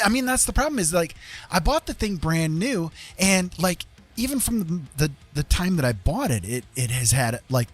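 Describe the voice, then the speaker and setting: A man livestreaming in a casual, rambling way, with a jokey, self-deprecating, colloquial manner. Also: mid-range voice. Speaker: live streamer and digital artist.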